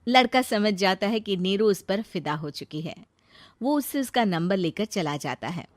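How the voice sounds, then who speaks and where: A woman narrating a moral cartoon story in a plain, brisk manner. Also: medium pitch, expressive, no echo.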